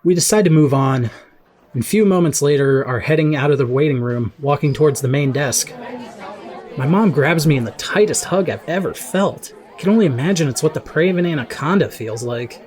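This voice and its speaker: A man's voice: a little high, lively intonation, brisk, a little mellow, some chatter behind, close to an everyday voice. A man playing an awkward, earnest, hesitant teenage boy in an audio drama. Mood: tense, reflective. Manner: hesitant, apologetic. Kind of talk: first-person audio drama narration and dialogue.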